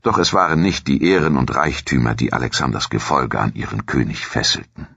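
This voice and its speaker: A man with a gravelly, husky voice reads scripted documentary narration in a measured, formal storytelling manner. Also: rumbling low, fairly bright tone, no room sound, highs cut off.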